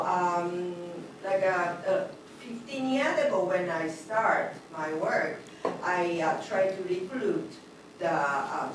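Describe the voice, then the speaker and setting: A middle-aged woman, speaking at a public meeting as a community liaison, halting and full of fillers. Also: mid-range voice, noisy background. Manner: halting, many fillers, loose grammar. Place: large echoey meeting room. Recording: echoey room from a distance.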